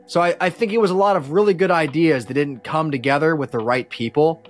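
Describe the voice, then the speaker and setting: A man's voice: on the high side, a little fast, soft background music. A man chatting casually as a podcast guest, telling stories about his life as a musician in a slangy, rambling way with frequent false starts.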